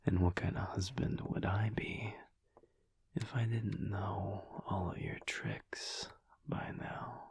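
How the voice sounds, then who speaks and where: A low, breathy murmur from a werewolf husband played by a man in an intimate audio roleplay. He is wry, teasing and affectionate, half whispering with a gravelly creak and long, unhurried pauses. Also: fairly even intonation, heavily acted. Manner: slow, hushed, wry drawl with pauses.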